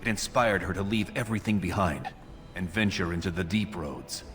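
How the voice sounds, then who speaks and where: An actor playing a scheming, courtly dwarf prince in a video game, speaking formally and persuasively in measured phrases. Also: male voice, low voice, fairly bright tone, faint music behind. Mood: calculating. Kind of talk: video game dialogue acting.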